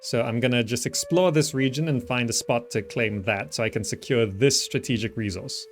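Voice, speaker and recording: A man's voice: medium pitch, moderate pace. A man giving casual gameplay commentary, thinking aloud and repeating himself as he works things out. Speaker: gamer, channel host.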